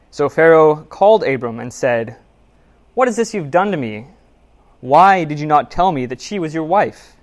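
A man delivers a sermon in a church sanctuary, reading scripture and then telling a story. He sounds earnest, measured and conversational. His voice sits at an ordinary middle pitch. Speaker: preacher.

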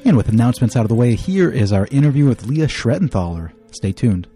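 A man's voice: mid-range voice, sing-song, quick pace, mellow, faint music behind. A man hosting a podcast in a conversational, announcer-like way. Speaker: podcast host.

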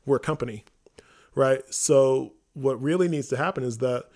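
A man talking casually on a podcast as one of the hosts, informal and animated, often acting out quotes. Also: mid-range voice, moderate pace. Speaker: theater company member and podcast host.

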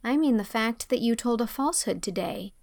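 A woman voicing an earnest, imaginative young woman who gently scolds a child. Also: fairly high pitch, expressive, brisk, dry recording, clean studio sound.

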